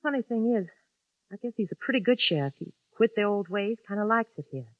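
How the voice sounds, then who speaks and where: A woman's voice: mid-range voice, expressive, brisk, no echo, lightly acted. An actress playing a frightened, lonesome young woman who pleads urgently, in a 1950s radio drama. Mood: confiding. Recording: dull lo-fi 1950s radio recording.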